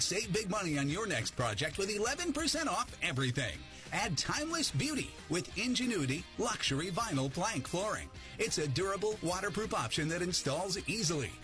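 A man reading a fast, upbeat retail store advertisement. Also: high voice. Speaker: ad announcer. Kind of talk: energetic retail ad read. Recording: ad with loud music bed.

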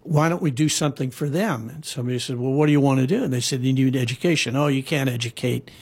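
A man with a husky, slightly breathy voice tells stories in a loose, conversational way. He wanders through his points with fillers and restarts, and his pitch sits in the middle range. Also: quick pace. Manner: rambling, with frequent fillers and restarts. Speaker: guest storyteller. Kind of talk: casual conversational storytelling. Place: lives in Hawaii.

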